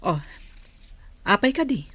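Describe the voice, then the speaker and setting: An actress with an Ilocano accent playing a frank, supportive elder-sister figure in a radio drama, expressive in manner. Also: female voice, medium pitch, measured pace, dry recording. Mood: surprised, frank.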